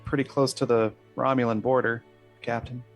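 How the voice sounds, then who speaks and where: A man of average pitch playing a tabletop game. He improvises in a casual, slightly hesitant way, with music playing behind him. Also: level tone, normal speed.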